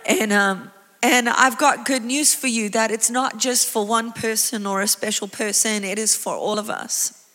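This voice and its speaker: Bright-voiced South African woman preaching. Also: fairly high pitch, a little slow. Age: 30s. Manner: animated, chatty, exclaiming. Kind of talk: sermon. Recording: long reverb of a large hall.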